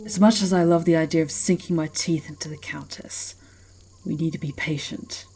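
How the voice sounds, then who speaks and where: A woman's voice: deep voice, fairly even intonation, normal speed, background noise. A woman playing a proud, menacing werewolf who leads an ancient pack, speaking with haughty deliberation in an ASMR fantasy roleplay. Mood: scheming, inviting.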